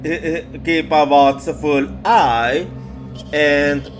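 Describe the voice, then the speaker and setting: A man who is a non-native English speaker tells a ghost story in ASMR style, halting and repeating words. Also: a little high. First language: not English. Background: music.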